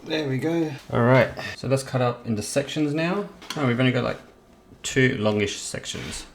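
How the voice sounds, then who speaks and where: A man's voice: mid-range voice, normal speed. Young man, cooking vlog host, casual, rambling, home kitchen, faint background noise. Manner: relaxed, rambling, self-correcting.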